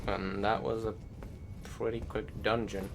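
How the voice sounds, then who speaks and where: A man doing a casual gameplay commentary in a halting, self-correcting and sometimes sweary way. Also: on the low side, flat delivery, gravelly, very noisy. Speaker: video game let's play host. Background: loud game music.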